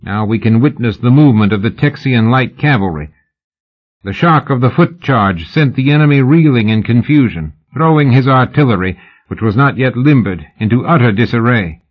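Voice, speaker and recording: A man's voice: medium pitch, lively intonation, moderate pace, lo-fi sound, close to an everyday voice. A man voicing a boastful old general in an audiobook, speaking in a pompous, oratorical way. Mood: boastful oratory. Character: old foreign general, boastful war hero giving pompous speech.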